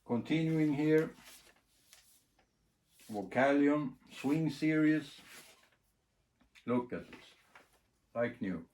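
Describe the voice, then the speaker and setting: A man giving a casual, unscripted demonstration, thinking aloud in short, halting phrases. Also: a little high, expressive, darkish tone. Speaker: record collector presenting a demonstration. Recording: clear background noise, clicks.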